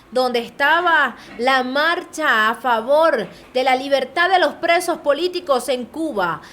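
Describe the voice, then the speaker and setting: A woman's voice: sits high, pushed and bright. A woman hosting a news channel, reading commentary in an indignant, rallying tone. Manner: indignant, urging viewers.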